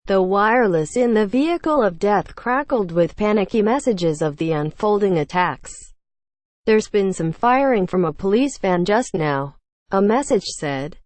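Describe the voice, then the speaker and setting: A woman reading a scripted news feature aloud in a measured, formal way. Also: mid-range voice, darkish tone, no room sound. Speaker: news article reader.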